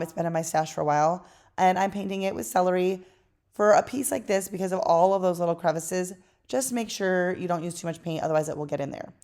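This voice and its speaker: A woman talks through a craft tutorial in a tired, hoarse voice that sits a little low. Her narration is chatty and relaxed, with easy fillers as she goes. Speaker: craft channel host.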